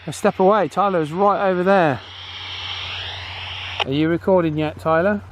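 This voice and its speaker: A man hosting a casual video outdoors, chatting in a halting way and checking in often as he tests gear. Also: high-pitched. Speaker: host. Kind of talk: casual outdoor video commentary and walkie-talkie testing. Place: outdoors on a path. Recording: outdoor background noise, faint.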